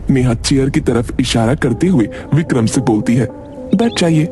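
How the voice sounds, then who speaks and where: A man's voice: mid-range voice, normal speed, music in the background. A man narrating a cartoon story from a script.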